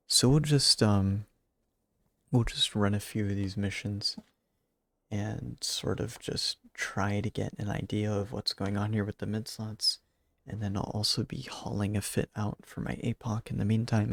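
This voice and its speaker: Male gaming vlogger, low gravelly voice, quiet and a little congested, casual rambling commentary. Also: no room sound. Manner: quiet, rambling with fillers and restarts. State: raspy and congested, late at night. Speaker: gaming YouTuber.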